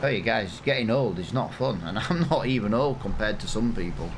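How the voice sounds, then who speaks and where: A rambling, self-mocking English man in his thirties talks through his gameplay in a lowish voice. A steady air-conditioning hum runs clearly under his desk mic. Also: a little fast. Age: 30s. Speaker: gaming channel host. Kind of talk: casual let's-play commentary.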